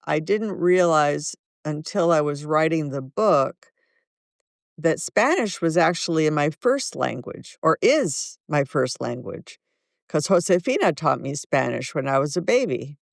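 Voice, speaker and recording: A woman's voice: sits low, expressive, creaky, no room sound, clean studio sound. A woman in her seventies, a guest author, telling thoughtful stories in an interview. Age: 70s. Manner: slow, thoughtful, warm anecdotes. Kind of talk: reflective interview storytelling.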